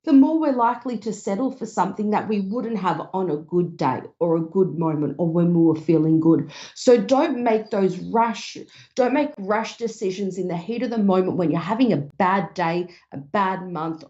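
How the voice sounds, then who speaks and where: A woman hosting a psychic advice show, a little hoarse and talking earnestly with many fillers. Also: fairly low pitch, moderate pace, dark tone. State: slightly hoarse, losing her voice. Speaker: psychic radio host. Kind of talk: casual advice talk. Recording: dull lo-fi stream.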